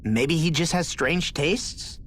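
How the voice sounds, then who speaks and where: A woman's voice: low-pitched, slow pace, a little hiss or hum. A woman voicing a wry, teasing young fighter in a video game, casual and sardonic. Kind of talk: video game dramatic acting.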